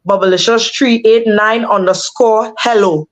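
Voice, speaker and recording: A woman guest with a husky voice, chatting casually and laughing often. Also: on the high side, steady tone, measured pace. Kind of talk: casual chat.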